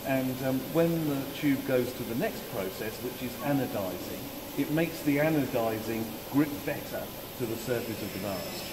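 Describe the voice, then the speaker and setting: A middle-aged man walks a visitor through a factory, explaining each step in a measured, technical way with frequent fillers. His voice echoes around a large hall and sounds distant from the camera. Loud machine noise hums behind him. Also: medium pitch, lively intonation. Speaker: mast factory manager. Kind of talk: explaining a manufacturing process. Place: large factory floor, indoors. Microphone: camera mic at a distance.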